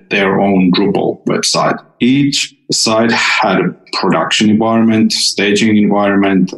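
Gravelly Finnish-accented man in a roomy space. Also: deep voice. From Finland. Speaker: podcast guest, software developer. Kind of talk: prepared explanatory interview answers. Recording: roomy echo on the voice.

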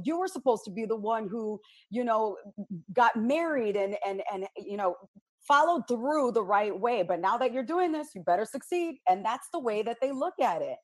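Blunt, outspoken woman radio personality. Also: medium pitch. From United States, south side of Chicago. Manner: outspoken and blunt, animated storytelling. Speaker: radio personality, panel guest. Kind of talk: candid panel discussion.

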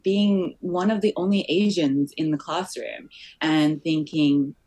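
A woman's voice: low voice, fairly even intonation, unhurried, warm tone, low-quality recording. A woman around her forties with a muddled Australian accent, talking reflectively about herself as an interview guest, often saying "like" and "you know". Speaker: podcast guest, company founder. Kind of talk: personal, reflective interview talk.

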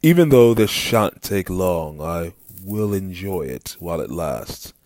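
A man's voice: low voice, big pitch swings, a little slow, darkish tone, dry recording, studio quality. A man voicing a boastful, scornful young prince who is an elder brother in a fantasy story. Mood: taunting. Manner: proud, taunting.

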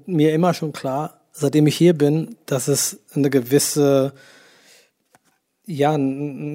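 A man's voice: medium pitch, dark tone. A middle-aged man, a youth worker who talks loosely in an interview, with many fillers and restarts. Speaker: youth worker running hip-hop projects. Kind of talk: conversational interview answers.

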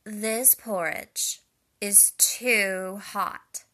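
A woman voicing a fussy little girl in a storybook reading, loud and slow. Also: medium pitch, steady tone, exaggerated voice. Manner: loud and slowed down.

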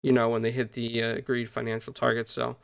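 A man's voice: mid-range voice, completely flat delivery, fast talker, creaky, clear, resonant tone, no room sound. A man hosting a gaming news podcast, talking casually and upbeat with many fillers as he goes through stories. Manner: upbeat, greets viewers, uses many fillers. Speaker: podcast host.

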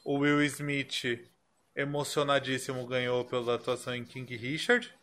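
A Brazilian man co-hosting a casual film podcast, relaxed as he recaps. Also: mid-range voice, normal speed. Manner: relaxed, recapping, light laughs. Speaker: podcast co-host.

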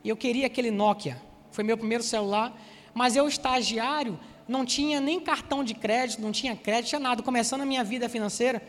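A man's voice: very high voice, fast. Bahian man preaching in an echoing hall. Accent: Bahian Brazilian Portuguese. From Salvador, Bahia, Brazil. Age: 40s. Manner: lively, addresses the congregation directly with asides. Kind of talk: sermon with personal storytelling.

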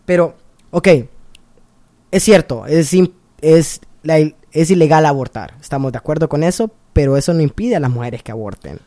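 A man from Honduras leads an interview with a warm, mellow voice. The sound is dry and close. He chimes in often with short agreements and speaks with emphatic conviction. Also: a little high, expressive, normal speed, no echo. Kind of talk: conversational interview.

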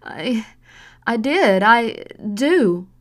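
A woman's voice: medium pitch, slow, creaky. A woman voicing a frightened young daughter who pleads in a trembling voice in a storybook reading. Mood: pleading, tearful. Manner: trembling, pleading, then flat monotone. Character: frightened young daughter, girl, turning cold and defiant. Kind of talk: audiobook narration.

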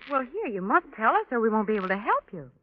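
A woman's voice: on the high side, sing-song, fast. An actress playing a kind, concerned lady companion in a 1940s radio drama. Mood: gentle. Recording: thin, phone-like vintage radio recording.

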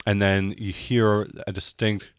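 A man acting as game master, narrating an improvised tabletop role-playing scene in a halting, descriptive way. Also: lowish voice, flat delivery, clear, resonant tone, no echo.

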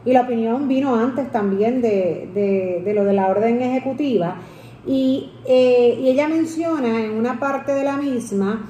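Puerto rican lady interviewer, soft dark tone, chatty question style, echoey room. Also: a little high, unhurried. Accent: Puerto Rican Spanish. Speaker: interviewer, host. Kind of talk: conversational interview. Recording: echoey room with some background noise.

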